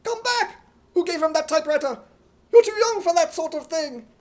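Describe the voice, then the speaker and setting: A man playing an excitable, blustering, gullible hero with a British accent in a radio comedy, frantic and exclamatory. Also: very high voice, moderate pace, pitched above the performer's own voice. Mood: indignant. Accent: imitated British. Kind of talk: 1950s radio comedy acting.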